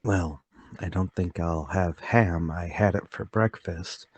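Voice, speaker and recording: Man playing a slow, husky, troubled older gentleman. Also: deep voice, exaggerated voice. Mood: polite, probing. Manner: halting, polite, deliberate. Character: troubled, forgetful, soft-spoken older gentleman, haunted and deliberate. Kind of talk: radio mystery drama table read.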